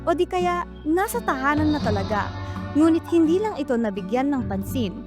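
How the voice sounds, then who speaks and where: A woman's voice: high-pitched, very animated, normal speed. A woman with a Filipino accent reading scripted narration for a radio drama. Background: music.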